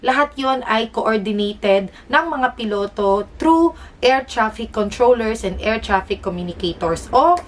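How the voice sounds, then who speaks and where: A woman's voice: on the high side, expressive, a little slow, recorded from a distance. A Filipino woman explaining things casually to vlog viewers in a chatty, informal way with joking asides. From Philippines. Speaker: vlogger, air traffic employee.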